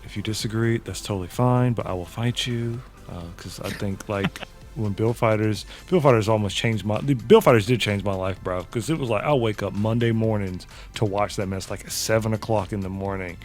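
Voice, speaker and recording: A man hosting a casual interview, talking in an excitable, slangy way. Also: fairly low pitch, a little fast, soft background music. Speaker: host.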